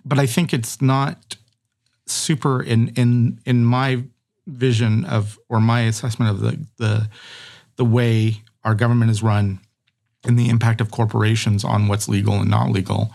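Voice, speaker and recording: A man's voice: medium pitch, flat delivery, a little slow, vocal fry, a little mellow. A middle-aged man hosting a conversational podcast, rambling through his thoughts with many fillers and restarts.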